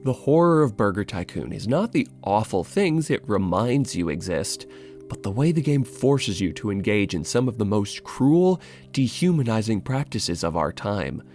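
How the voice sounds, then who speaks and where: A man's voice: lowish voice, very animated, normal speed, darkish tone, faint music behind. A man narrating a scripted video essay as its host, in a wry, sardonic, casually sweary way.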